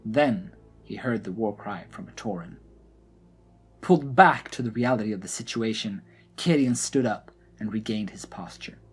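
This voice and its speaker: A man narrating a scripted fantasy audio drama in a deliberate, dramatic storytelling manner. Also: fairly low pitch, husky. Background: background music.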